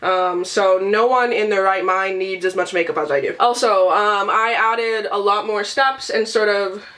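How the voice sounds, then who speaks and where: A woman's voice: mid-range voice, moderate pace, a bit bright. A woman with a sick, nasal voice chatting casually and rambling as a beauty and book vlogger, swearing freely. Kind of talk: casual rambling vlog chat.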